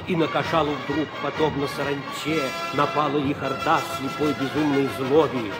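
A man narrating epic verse with solemn, measured declamation in an old audio drama. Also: mid-range voice, fairly even intonation. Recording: old recording with loud music.

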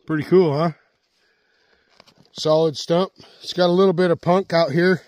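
A man's voice: fairly high pitch, steady tone, normal speed, darkish tone, dry recording. A middle-aged man vlogging outdoors in a snowy forest, talking casually about his chainsaw and often checking in with the viewer. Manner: folksy, repeats himself, asks the viewer "right?". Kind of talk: casual outdoor show-and-tell. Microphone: helmet-mounted action camera.

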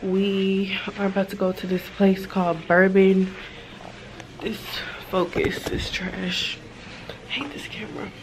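Slangy young American woman over loud noise. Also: flat delivery, unhurried. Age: 20s. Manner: informal, slangy, profane. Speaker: vlogger. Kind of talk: casual chat to camera. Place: mostly inside a parked or moving car at night. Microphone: camera at arm's length. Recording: noisy handheld camera audio, car rumble.

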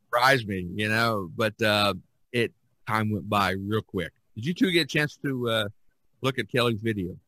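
An American man hosting a casual podcast, speaking in a somewhat halting way. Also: lowish voice, pushed and bright, no echo, rough recording. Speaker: podcast host. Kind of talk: casual podcast talk with announcing.

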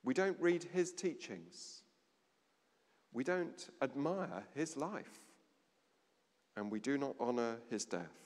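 A middle-aged man giving a sermon at a lectern in a large stone church, measured, with dramatic pauses and a voice that drops low. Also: lively intonation, a little mellow. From England. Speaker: vicar. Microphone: standing at a lectern, far camera. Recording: long church reverb.

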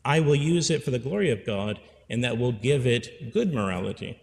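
A man's voice: mid-range voice, animated, moderate pace, creaky, a little mellow, big-room sound, slight background noise. A man in his forties, a priest giving an earnest pastoral sermon at a pulpit microphone, repeating phrases for emphasis. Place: church sanctuary. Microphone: stand mic at pulpit.

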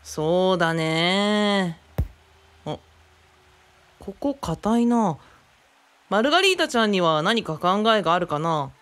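A woman's voice: on the low side, very animated, slow pace, long pauses, fairly bright tone, full character voice. Woman voicing a boyish, eager young man. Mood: curious, thoughtful. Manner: casual, boyish, enthusiastic speech. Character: eager, friendly young man investigating mysteries. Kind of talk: Japanese radio drama acting.

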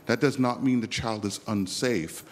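A man's voice: on the low side, normal speed. A man from the West Indies asking an audience question at a lecture, speaking emphatically. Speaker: audience member. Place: lecture theatre.

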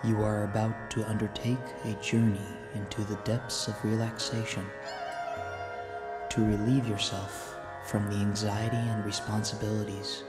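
Slow, low male meditation narrator with echo. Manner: slow, soothing, deliberate delivery. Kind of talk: scripted sleep meditation narration. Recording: echoey voice under loud music.

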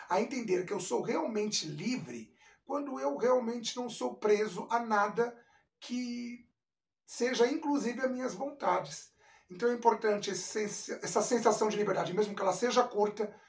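A man's voice: sits high, normal speed. Brazilian man, echoey and distant. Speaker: vlog host, chef. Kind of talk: casual personal talk to camera. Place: small indoor kitchen. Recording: echoey room, distant microphone.

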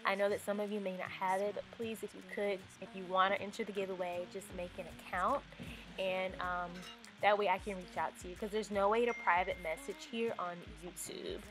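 A woman's voice: medium pitch, flat delivery, a little fast. Chatty young woman vlogger. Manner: chatty, upbeat, with fillers like um and like. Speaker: home decor vlogger. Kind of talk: casual voice-over narration. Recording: faint music underneath.

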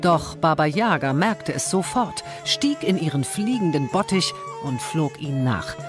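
A woman's voice: low-pitched, animated, measured pace, over music. A woman narrating a fairy tale from a script as a storyteller.

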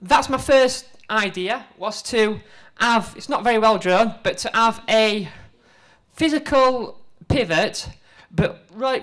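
A middle-aged woman giving an informal conference presentation into a handheld microphone, conversational, halting and self-deprecating. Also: mid-range voice, fairly bright tone. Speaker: conference speaker, museum exhibit developer. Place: indoor presentation room before a seated audience.

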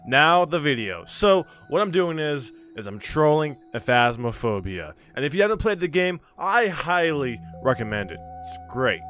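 A young adult man speaking casually and excitably as a gaming video host, swearing at times, close to a desk mic. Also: fairly high pitch, a little slow, few pauses. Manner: animated, swearing, prone to yelling. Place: small furnished room at home. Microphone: close desk mic on boom arm, with headset. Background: music.

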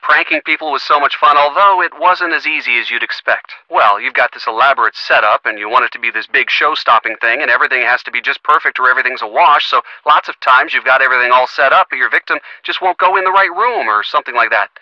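An actor playing an earnest, gently sardonic young American boyfriend in a video game, talking conversationally and expressively with a youthful voice. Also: male voice, medium pitch, fast talker, hardly any pauses, no echo, muffled recording, close to an everyday voice. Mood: casual, amused. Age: young adult. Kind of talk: video game phone-call dialogue.

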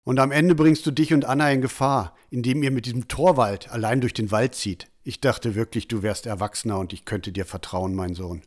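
A man's voice: medium pitch, close to an everyday voice. Stern, reproachful father, played by a man. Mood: stern disappointment. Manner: reproachful, measured. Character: stern, disappointed village father. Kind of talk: fantasy audio drama acting.

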